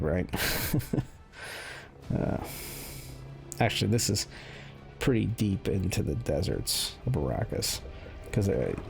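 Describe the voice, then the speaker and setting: A man giving casual, off-the-cuff game commentary, conversational and thinking aloud in a measured way. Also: low voice, creaky. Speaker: gaming video host, commentator. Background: music under the voice.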